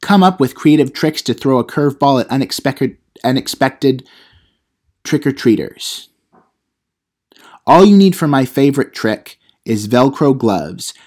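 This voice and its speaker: A man's voice: mid-range voice, darkish tone. A man playing a cheeky, self-important teenage vlogger who gives mock how-to advice in an audio comedy. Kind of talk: comedic how-to vlog monologue.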